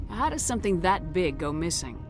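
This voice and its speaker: A woman playing a steady female military commander in a video game, direct and businesslike. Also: on the low side, a little fast, music in the background. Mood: firm, questioning. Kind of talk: dramatic video game acting.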